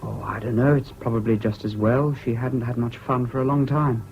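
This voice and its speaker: An actor playing a whimsical, impulsive young English student in a radio drama, talking in a chatty, flippant way. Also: male voice, mid-range voice, steady tone, moderate pace, gravelly, lightly acted. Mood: confiding, flippant. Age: young adult. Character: whimsical, impulsive young male Cambridge student, evasive charmer. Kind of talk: 1970s British radio drama acting. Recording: dull, hissy old radio recording.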